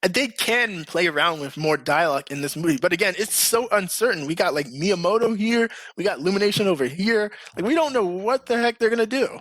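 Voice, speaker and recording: A man hosting a casual podcast discussion, talking in an animated, emphatic way. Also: high voice, a little fast, few pauses, no room sound. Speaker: podcast host.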